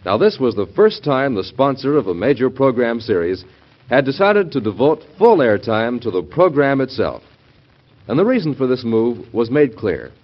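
A man's voice: medium pitch, sing-song, moderate pace. An American man announcing a scripted classic radio promotion in a formal, polished manner. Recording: dull vintage radio recording.

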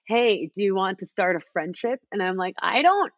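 A woman's voice: medium pitch, expressive, moderate pace, no room sound, dull audio. A woman in her twenties from Mexico, raised in New Jersey, telling her story as a podcast guest with frequent ums. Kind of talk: casual interview chat.

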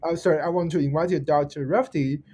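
A man's voice: a little high, steady tone, fast, mellow, slight background noise. A man with a Chinese accent hosting an interview, polite and hesitant, often restarting and apologizing. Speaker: host.

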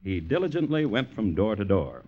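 Low-voiced, droll macabre storyteller. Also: male voice, slight background noise. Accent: American. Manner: deadpan, deliberate. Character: droll, macabre male crime storyteller, dryly formal and unhurried. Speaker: narrator and host. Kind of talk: 1950s radio narration, scripted. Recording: muffled vintage radio recording.

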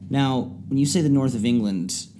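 A man's voice: mid-range voice, moderate pace, warm tone, slight background noise. A man hosting a radio show, talking in an enthusiastic, conversational way with playful asides. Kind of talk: scripted intro and interview.

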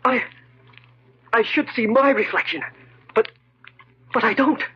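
An actor playing a guilt-ridden, desperate thief and murderer, with halting, melodramatic 1940s radio delivery. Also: male voice, extremely high pitch, breathy edge, heavily acted. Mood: fearful pleading. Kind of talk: 1940s radio horror acting. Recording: muffled old radio recording.

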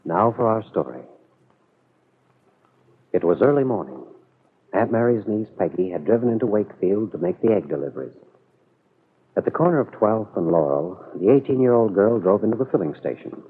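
A man with an American accent narrating a radio drama in a measured, dramatic storytelling manner, on a muffled vintage recording. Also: lowish voice, lively intonation, breathy edge.

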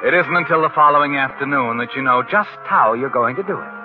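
A man's voice: mid-range voice, quick pace, natural voice. Vintage radio thriller narrator, male, played by an actor: ominous, insinuating, thin broadcast sound, prominent music behind. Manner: insinuating, suspenseful, rhetorical tag questions. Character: ominous male narrator addressing the schemer as you. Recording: tinny old radio broadcast over music.

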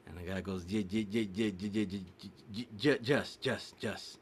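A man's voice: lowish voice, moderate pace, a little hiss or hum. A man telling a long joke in casual chat, acting out a stuttering patient and his doctor. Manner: animated storyteller mimicking a stutter.

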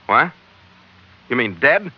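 An actor playing a tough, weary adventurer skipper in a hard-boiled old-time radio drama, with clipped delivery. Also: male voice, medium pitch, sing-song, slow pace, slightly rough, heavily acted. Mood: urgent, demanding. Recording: dull, lo-fi old radio recording.

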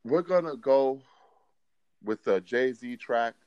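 An American man chatting casually as a music podcast co-host, slangy and measured, with a teasing streak. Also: mid-range voice, expressive, darkish tone, clean tone, no room sound, dull audio. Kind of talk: casual hip-hop debate chat.

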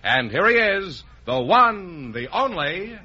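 A man's voice: high voice, sing-song, slow pace, sharp tone. An American man announcing a 1950s radio show in a formal, showmanlike style. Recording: muffled old radio recording. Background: faint music.

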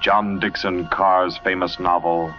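Low-voiced vintage radio announcer, male. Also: little pitch movement. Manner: grand, dramatic, measured. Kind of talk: 1940s radio announcing, scripted. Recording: muffled 1940s radio recording, loud music.

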